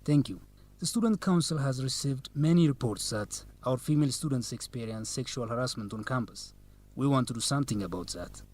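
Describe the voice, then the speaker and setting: Man playing a confident young student, mid-pitched voice. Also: expressive, brisk, darkish tone, lightly acted. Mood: earnest. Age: young adult. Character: confident, teasing young male Ethiopian law student. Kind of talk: educational radio drama acting.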